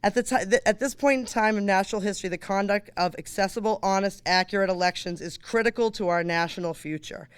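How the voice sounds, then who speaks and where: A hoarse woman gives a campaign speech on someone's behalf. She talks chattily, breaking off mid-sentence for casual asides, and her voice still comes through smooth and clear. The sound is dry and close. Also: mid-range voice, fast, few pauses, no echo. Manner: chatty, self-interrupting, informal asides. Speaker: campaign surrogate speaker. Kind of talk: stump speech at a candidates night.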